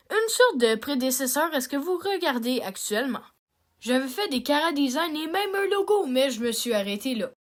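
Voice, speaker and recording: A young woman with a Québécois accent, an amateur animator talking casually about her cartoon with many fillers and self-deprecating asides. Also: sits high. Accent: Québécois French. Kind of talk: casual self-narration and outro.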